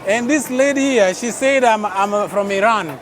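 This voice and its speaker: A man's voice: high voice, normal speed. A man from Texas chatting his way through an outdoor night food court as a travel vlogger, often exclaiming in wonder. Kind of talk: casual walking vlog narration. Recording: noisy crowded street market recording. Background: crowd chatter and traffic.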